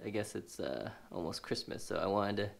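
A man's voice: fairly low pitch, expressive, fairly quick, gravelly. Stuffy-nosed man unboxing a gadget. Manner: rambling, many fillers, thinking aloud while handling the box. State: stuffy from allergies, sniffling. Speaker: tech reviewer. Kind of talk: casual unboxing narration. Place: indoors at a table.

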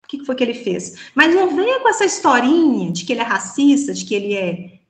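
A woman's voice: sits high, very animated, quick pace, roomy sound. A woman in her thirties with a Minas Gerais Brazilian accent, giving indignant opinion commentary as a panelist over a video call from a small room. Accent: Brazilian Portuguese, Minas Gerais. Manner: indignant, rhetorical questions, many fillers like "então, assim". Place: small indoor room with a plain wall. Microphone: laptop webcam mic on a video call.

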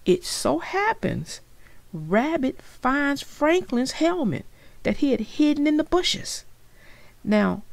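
A woman narrating a children's story in an expressive read-aloud style, speaking directly to young listeners. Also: on the high side, vocal fry, dry recording. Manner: addresses young listeners directly, explaining the lesson.

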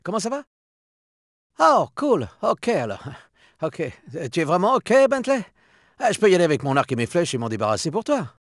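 High, lively cocky cheetah sidekick. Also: male voice, moderate pace, dry recording, clean studio sound. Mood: casual, friendly. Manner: breezy, boastful, chummy. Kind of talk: video game character voice acting in French.

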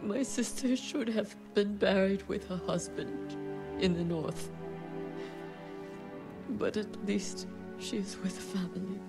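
A woman's voice: medium pitch, fairly even intonation. An actress playing a solemn, grieving older noblewoman warrior bent on revenge in a video game, speaking tersely. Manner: terse, solemn, measured delivery. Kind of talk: dramatic video game acting. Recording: game dialogue over background music.

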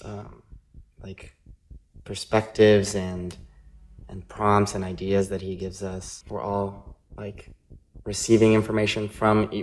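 A young man from Costa Rica, a dancer, reflecting in a searching way with frequent pauses and fillers. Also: fairly low pitch, poor audio. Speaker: dancer and collaborator. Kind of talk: reflective interview talk.

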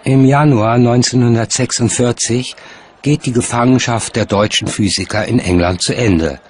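A man's voice: medium pitch, normal speed, a little mellow, some chatter behind, slight background noise. A man reading scripted narration as the narrator of a documentary.

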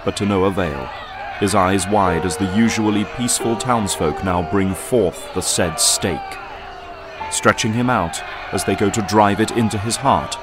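A man's voice: lowish voice, a little slow, over music. A man giving dramatic scripted narration as the narrator of a story.

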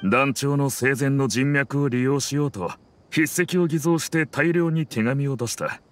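A man voicing a loyal, battle-worn mercenary in a video game, recounting things in a gruff, matter-of-fact way. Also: medium pitch, a little slow, a little hiss or hum, lightly acted. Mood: determined. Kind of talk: dramatic game acting. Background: soft music.

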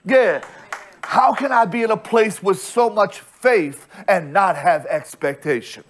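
A pastor in his thirties delivers a sermon from a church stage, speaking into a small body mic. His voice sits a little high for a man, and he takes his time. He drives each point home with repeated phrases and restarts. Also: a little hiss or hum. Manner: preaches slowly with emphatic repetition and restarts. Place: church stage with bright screen backdrop.

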